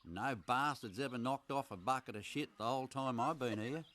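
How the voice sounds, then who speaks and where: An actor playing a gruff, foul-mouthed but laid-back rural uncle with an Australian accent in a film comedy-drama. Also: male voice, mid-range voice, moderate pace, heavy background noise, lightly acted. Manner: blunt, sweary, matey slang.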